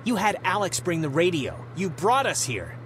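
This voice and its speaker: A man's voice: high-pitched, wildly swooping pitch, moderate pace, a bit bright, slight background noise, exaggerated voice. A young American man playing a blunt, sarcastic, short-tempered teenage boy in a video game. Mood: exasperated. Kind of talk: naturalistic video game dialogue. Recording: music behind the voice.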